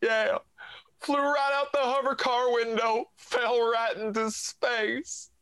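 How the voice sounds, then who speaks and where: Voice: medium pitch, level tone, slow pace, fairly bright tone, smooth, no echo. A performer playing a goofy, naive male police sidekick in a comedic radio play, earnest and eager.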